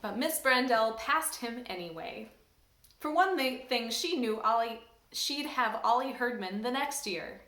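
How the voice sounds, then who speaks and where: A woman from a church staff reading a children's book aloud in an expressive, lively storytelling manner. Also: a little high, measured pace. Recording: roomy echo.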